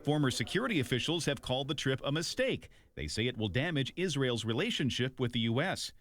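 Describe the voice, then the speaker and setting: A man's voice: mid-range voice, very animated, a little fast, fairly bright tone, no room sound. A man narrating a scripted news package as a reporter.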